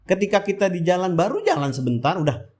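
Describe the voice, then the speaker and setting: A man's voice: high-pitched, expressive, quick pace, a little mellow. A man with a Jakarta-area Indonesian accent giving a casual, rambling motovlog voice-over, often asking and answering his own questions. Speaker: motovlog host. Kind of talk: casual vlog voice-over, chatty and informal.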